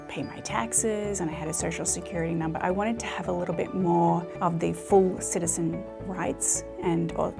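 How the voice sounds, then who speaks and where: A woman from Australia with a low, gravelly voice tells her story in a chatty way, full of fillers. Music plays clearly behind her. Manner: conversational, many fillers. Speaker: historic preservation coordinator. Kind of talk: personal story interview.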